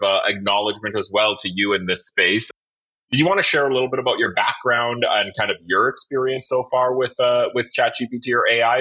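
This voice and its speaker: A man's voice: lowish voice, bright, forceful tone, rough recording. A man hosting a podcast, interviewing in a conversational way.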